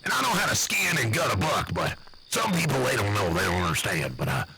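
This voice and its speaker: A man's voice: fairly low pitch, brisk, creaky, over a noisy crowd, rough recording. A man voicing a blustery, nervous backwoods uncle with an American accent, rambling and swearing in an improvised comic monologue. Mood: excited. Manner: rambling, folksy, profane, excitable.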